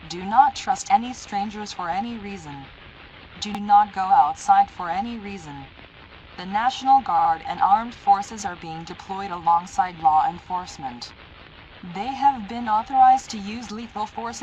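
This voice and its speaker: A synthetic female voice playing a stern, impersonal emergency alert announcer, reading formal, deliberate warnings in an analog horror broadcast. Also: mid-range voice, darkish tone, slight background noise, lightly acted, rough recording.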